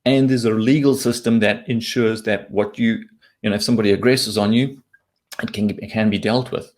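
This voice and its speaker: A man's voice: lowish voice, steady tone, normal speed, darkish tone. A man from southern Africa explaining his opinions as an interview guest, talking bluntly and informally with casual swearing. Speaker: interview guest, investment commentator.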